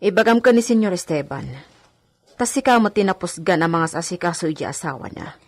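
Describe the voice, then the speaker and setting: A woman's voice: fairly low pitch, lively intonation, fairly quick. An actress playing a concerned, firm household woman giving advice in a radio drama, with a Filipino accent. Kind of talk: Ilocano radio drama acting.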